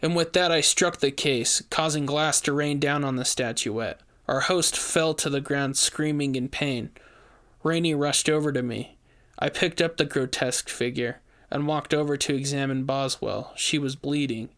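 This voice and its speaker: A man's voice: mid-range voice, steady tone, moderate pace, a bit bright. A man giving a scripted first-person narration of a horror story, as its narrator.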